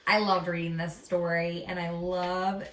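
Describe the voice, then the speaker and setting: A woman reading a picture book aloud to young listeners in an expressive, teacherly way, with dramatic pauses. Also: on the low side, slight background noise. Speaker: read-aloud storyteller. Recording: echoey room, slightly distant mic.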